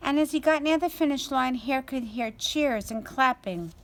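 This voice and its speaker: A woman reading a children's story aloud as a storyteller, in an expressive, deliberate way. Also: sits high, slight background noise.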